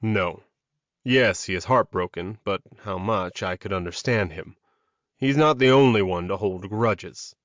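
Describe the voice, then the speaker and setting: A man voicing a calm, protective male werewolf alpha in an audiobook, measured and reassuring. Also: fairly low pitch, dry recording, highs cut off.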